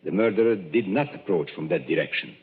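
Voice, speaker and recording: An actor playing a suave stage magician who lays out clues in a smooth, measured, knowing way in an old radio drama. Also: male voice, low voice, expressive. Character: suave middle-aged male stage magician, sleuthing. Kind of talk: 1940s radio acting. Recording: dull old radio broadcast, reverberant.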